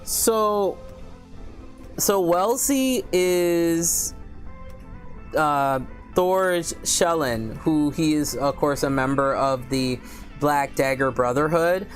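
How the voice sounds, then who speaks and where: A woman with a deep voice hosting a casual book livestream, chatting candidly with viewers and reading their comments aloud. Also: soft background music. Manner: speaks slowly with many fillers.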